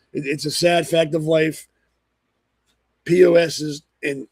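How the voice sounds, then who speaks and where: Male talk host, hoarse, gravelly, slightly high, opinionated ramble. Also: dry recording. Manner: blunt, rambling, with frequent ums and restarts. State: hoarse from a cold. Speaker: podcast host. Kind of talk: opinionated political commentary.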